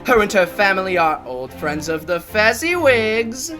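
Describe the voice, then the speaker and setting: A woman's voice: a little slow, soft background music. A young woman on a school stage playing a jolly, welcoming older male employer, speaking boisterously. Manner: boisterous, lively pitch swings. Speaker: stage actor. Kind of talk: school stage play acting.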